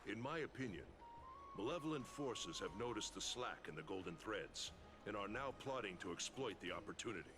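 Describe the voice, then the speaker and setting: A man's voice: lowish voice, animated, fairly quick, lightly acted. A man playing a courteous but blunt, wary and seasoned guardian in a video game. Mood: grave warning. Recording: game music playing behind the voice.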